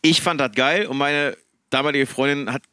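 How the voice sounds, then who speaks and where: A man's voice: mid-range voice, moderate pace, smooth, dry recording, studio quality. Rambling man with a Ruhr German accent. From Ruhr area, Germany. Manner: rambling, self-correcting, animated anecdotes. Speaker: podcast participant. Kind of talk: casual storytelling chat.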